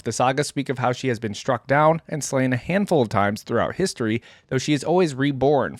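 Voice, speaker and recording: A man's voice: mid-range voice, no room sound. Man hosting reactions, close dry sound. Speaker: YouTube reaction host. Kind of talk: reaction commentary mixed with reading lore aloud.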